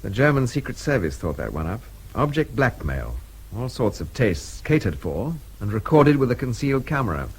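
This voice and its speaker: A man's voice: medium pitch, big pitch swings, moderate pace, husky, rough recording. An actor playing a capable, exasperated British man with a sarcastic, clipped, superior manner in a radio drama. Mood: sardonic, brisk.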